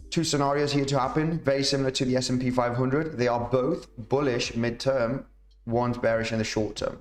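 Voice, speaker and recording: A man streaming live chart analysis, chatty and rambling, reading out viewer comments, his voice slightly rough. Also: mid-range voice. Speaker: crypto market analyst streamer. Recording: roomy echo, faint music behind.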